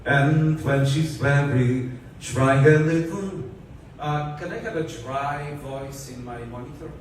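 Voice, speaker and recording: A man's voice: on the high side, slow pace. A man doing a studio soundcheck, talking to the engineer and breaking into singing and scat. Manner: singing and scatting between requests. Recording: distant, echoey, loud music.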